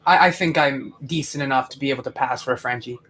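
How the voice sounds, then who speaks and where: A man's voice: mid-range voice, fast, a bit bright. A young man hosting a casual live stream, talking to viewers in a rambling, chatty way with lots of fillers and restarts. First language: English. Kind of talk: casual live commentary chat.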